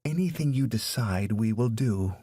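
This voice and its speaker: A man's voice: medium pitch, expressive, moderate pace, warm tone, breathy edge, no echo, studio quality. A man voicing a conciliatory tall man who pleads politely in a story. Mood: pleading.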